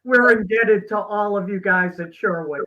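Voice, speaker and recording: A man speaking as a doctor and interview guest, explaining in a measured, halting way with pauses mid-thought. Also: high-pitched, lo-fi sound. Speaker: doctor and company co-founder, guest.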